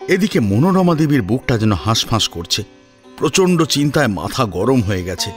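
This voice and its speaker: A male narrator tells a dramatic story with suspense and feeling. His mid-pitched voice moves animatedly up and down, over faint background music. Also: very animated, moderate pace.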